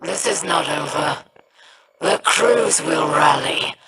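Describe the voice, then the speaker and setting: A man's voice: fairly high pitch, expressive, vocal fry, exaggerated voice. A man voicing a defiant, threatening alien pirate villain in a video game. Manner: threatening, deliberate. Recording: loud background noise.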